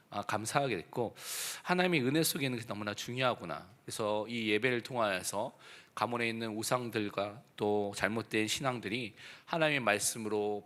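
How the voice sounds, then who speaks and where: Hoarse pastor preaching with bright force. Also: male voice, fairly low pitch. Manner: exhorting, emphatic. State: hoarse from a throat cold. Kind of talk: church sermon. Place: church service.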